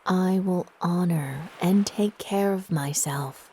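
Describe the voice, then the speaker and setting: A woman narrating a guided meditation in a soft, breathy, gentle and soothing manner with long pauses. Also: on the low side, a little hiss or hum.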